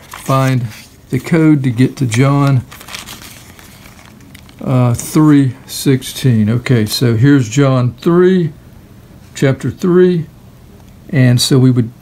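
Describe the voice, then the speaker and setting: A man's voice: medium pitch, vocal fry, mellow, a little hiss or hum. A man giving a casual hands-on product demonstration in a hesitant, unscripted way. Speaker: product reviewer.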